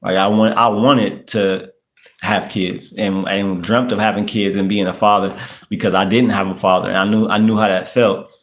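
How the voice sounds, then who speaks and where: A man's voice: on the low side, little pitch movement, moderate pace, fairly bright tone. A man in his late thirties from the US, hosting a casual livestream, chatting loosely, repeating himself and using street slang. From United States. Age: 30s. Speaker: podcast host. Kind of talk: casual livestream chat reading comments.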